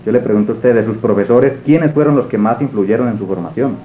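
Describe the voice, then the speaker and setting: A man's voice: lowish voice, fast talker. A man asking interview questions in a polite, formal way. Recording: roomy, narrow lo-fi sound with hum.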